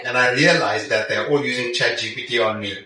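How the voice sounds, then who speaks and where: A man's voice: medium pitch, a little fast, pushed and bright. A middle-aged man with a South African accent giving conversational interview answers as a technology executive, often checking in with a questioning "yeah?". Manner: frequent "yeah?" check-in tags. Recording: echoey, distant mic, busy crowd chatter.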